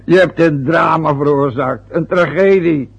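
A gravelly, teasing old father. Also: fairly high pitch, measured pace, exaggerated voice. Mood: jovial. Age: older. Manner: bantering, nosy, chuckles often. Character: jovial, teasing older father who loves cigars. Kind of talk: radio play acting.

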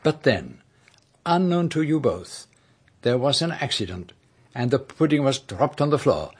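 An actor playing a shrewd, courteous Belgian detective with a French-speaking accent in a radio drama, formal, precise and unhurried. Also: male voice, mid-range voice, big pitch swings, husky. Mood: knowing. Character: shrewd, courteous middle-aged foreign male detective.

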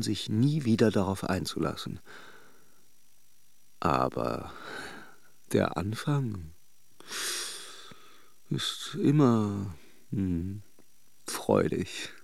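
Radio drama lover: teasing, reflective charmer, highly animated delivery, dry sound. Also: male voice, vocal fry, no echo, heavily acted. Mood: musing, wry. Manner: teasing and joking, musing when alone. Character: playful, flirtatious Russian philanderer, charming but privately reflective. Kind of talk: radio drama acting in intimate dialogue and monologue.

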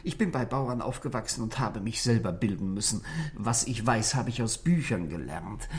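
A man's voice: lowish voice, expressive, moderate pace, natural voice. A man acting an anxious, troubled husband in a 1960s German radio play, hesitant and apologetic, often dropping into whispery undertones. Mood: apologetic, subdued. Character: troubled married man, anxious, self-taught, convinced he is being poisoned. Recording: vintage 1960s radio drama recording.

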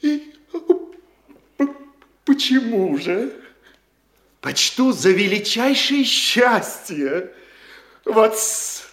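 A man's voice: high-pitched, big pitch swings, very slow, pauses often, a bit bright, reverberant, full character voice. An actor playing a timid, fawning provincial postmaster who stammers and bows to his superior. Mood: obsequious. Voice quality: hesitant, stammering, obsequious. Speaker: actor in a radio play. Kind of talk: stage radio play acting.

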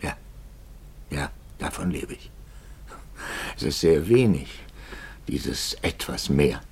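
Troubled priest, low husky voice, intense and searching, brooding delivery, 1950s radio play. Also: male voice, sing-song, slow pace, a little mellow, exaggerated voice. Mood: brooding, resigned. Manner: brooding, intense, often dropping to near whisper.

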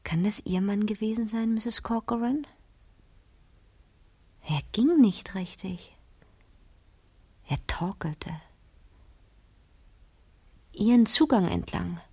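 An actress playing a calculating fifteen-year-old girl in a German radio crime drama, speaking deliberately and sometimes hushed. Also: mid-range voice, animated, slightly rough, exaggerated voice. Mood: hushed, scheming. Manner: slow, deliberate, hushed at times.

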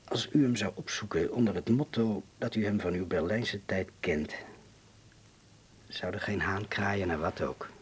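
An actor playing a courteous, grave man in hiding in a Dutch radio drama, speaking formally and deliberately with a Netherlands Dutch accent. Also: sits low, slightly rough, full character voice. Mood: delicate, persuasive.

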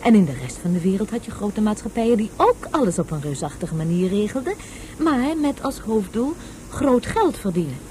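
Mellow, animated woman tutor, an actress's role. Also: medium pitch, moderate pace, a little hiss or hum, close to an everyday voice, low-quality recording. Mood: instructive. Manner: didactic, storytelling to a child. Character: patient, explaining woman tutor of a young boy. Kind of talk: Dutch radio play acting.

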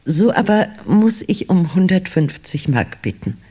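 A woman's voice: on the low side, lively intonation, a little slow, warm tone, breathy edge. An actress playing an elderly grandmother in a German children's radio play, hesitant, stammering and fussily polite. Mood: chatty, polite. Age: older. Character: polite, flustered elderly grandmother returning a lost cat.

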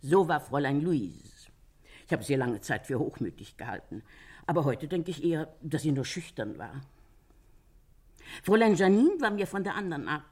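Husky, low-voiced gossipy landlady. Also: big pitch swings, moderate pace, a little mellow, exaggerated voice. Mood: gossipy, reflective. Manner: chatty storytelling, quotes others animatedly. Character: talkative older Parisian landlady, gossipy but sympathetic. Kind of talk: German radio crime drama acting.

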